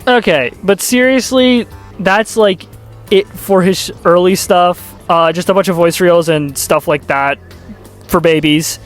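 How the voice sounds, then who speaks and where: A man with a fairly high voice talks through casual video commentary. He rambles sarcastically and swears freely, with faint music running behind him. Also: measured pace. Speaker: YouTube commentator.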